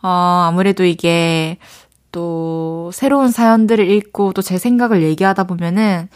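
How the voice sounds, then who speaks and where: A woman's voice: on the low side, a little slow. A young woman with a Daegu accent, hosting a radio show and chatting casually as she reads out listener letters. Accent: Daegu Gyeongsang Korean. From Daegu, South Korea. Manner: chatty, playful, thinks aloud.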